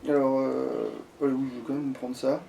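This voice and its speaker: A man's voice: medium pitch, level tone, normal speed, gravelly, dark tone. A man chatting casually and swearing freely as he plays through a video game. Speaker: gamer playing in a let's play. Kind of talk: casual gameplay chat. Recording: music playing behind the voice.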